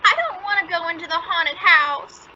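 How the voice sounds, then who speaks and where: A woman playing a frightened, stubborn little girl in an old-time radio family comedy, whining in drawn-out protests. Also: extremely high pitch. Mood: wailing, scared. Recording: dull, noisy lo-fi radio play recording.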